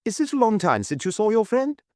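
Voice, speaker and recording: Giggly young lady tourist, a man's falsetto. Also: very animated, a little fast, warm tone, no echo, clean studio sound. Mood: gushing.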